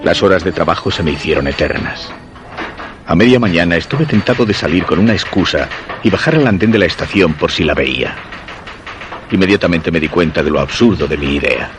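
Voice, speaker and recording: A man's voice: low-pitched, expressive, a little fast. An actor playing a lovesick, brooding man narrating his diary in a radio drama, with an accent from Spain. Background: music.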